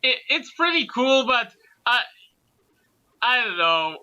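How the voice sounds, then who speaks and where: Casting: man with high, piercingly bright voice, slangy rambling enthusiast in casual chat, lo-fi audio. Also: big pitch swings, slow pace, clean tone. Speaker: co-host.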